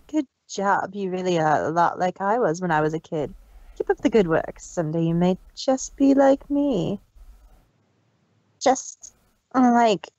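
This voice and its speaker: Man voicing a cruel scheming pony villainess, raised pitch. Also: a little slow, a little mellow, dry recording, highs cut off. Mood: smug. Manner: domineering, taunting.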